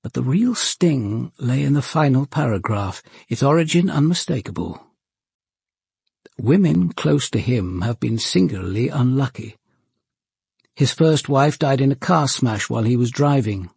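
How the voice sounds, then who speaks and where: An actor playing a grave, authoritative senior police detective in a British radio drama, speaking in a measured, articulate British accent with dry understatement and a husky voice. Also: male voice, mid-range voice, animated, darkish tone, dry recording, full character voice. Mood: measured, reflective. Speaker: lead actor and narrator. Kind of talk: radio drama acting and narration.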